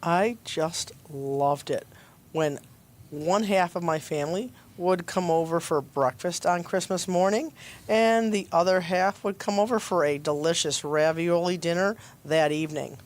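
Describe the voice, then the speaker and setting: A woman's voice: on the low side, measured pace. A woman with an opinionated, plain-spoken way of talking, reading conversationally in an audio play.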